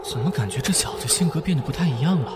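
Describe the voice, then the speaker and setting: A man's voice: fairly high pitch, lively intonation, fast talker, heavy background noise, lightly acted. A man playing an earnest, caring young male detective and protective older brother in an animation dub. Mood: casual, puzzled. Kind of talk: Mandarin animation dub acting with inner monologue. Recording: background music under the voice.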